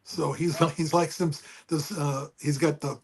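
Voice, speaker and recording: A man with a booming voice chatting casually and rambling about engines over a remote call. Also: steady tone, unhurried, husky. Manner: rambling, folksy, restarts often. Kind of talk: casual car chat. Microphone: remote call with speaker feedback.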